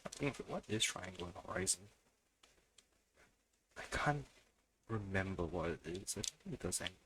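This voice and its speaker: A man's voice: deep voice, animated, moderate pace, noisy background. A man streaming a video game, giving casual commentary and muttering to himself as he plays. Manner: muttering to himself while playing. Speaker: livestream gamer. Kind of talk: casual let's-play commentary.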